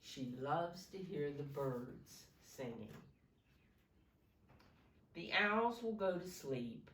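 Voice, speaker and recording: Slow-spoken woman reading to preschoolers, roomy echo. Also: sits low, lively intonation, fairly bright tone. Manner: simple, instructive, asks the children questions. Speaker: preschool teacher reading a picture book aloud. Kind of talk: storytime read-aloud with explanatory asides for young children. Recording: roomy echo, distant from microphone.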